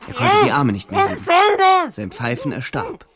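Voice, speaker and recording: A man's voice: mid-range voice, wildly swooping pitch, normal speed. An actor playing a hardened young soldier who narrates his war in the first person in a German radio drama. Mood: tense, gleeful. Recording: loud music behind the voice.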